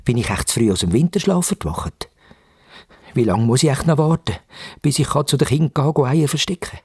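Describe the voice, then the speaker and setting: A man voicing an excitable, impatient Easter bunny in a children's radio play, with a Swiss German accent and eager exclamations. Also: medium pitch, a little fast, slightly rough, dry recording, studio quality. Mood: restless, hopeful. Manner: eager, exclaiming, wondering aloud. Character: excitable, impatient, easily startled young-hearted male Easter bunny.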